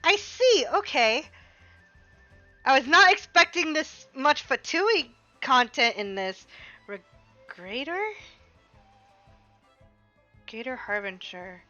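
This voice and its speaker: A woman streamer reacting casually and excitedly while she plays a game. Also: high voice, takes it slowly, pauses often, dull audio, faint music behind. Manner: excitable, exclaiming.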